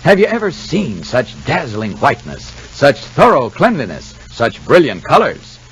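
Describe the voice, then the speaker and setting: A man announces for 1950s radio. His scripted delivery is extremely animated in pitch, moving across a wide range. There is faint noise on the old recording. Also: normal speed, rough recording. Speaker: announcer and narrator.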